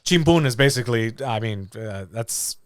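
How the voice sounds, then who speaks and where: A man's voice: mid-range voice, expressive, normal speed, a crowd in the background. A man hosting a casual podcast interview, with a teasing, jokey way of talking. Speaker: podcast host.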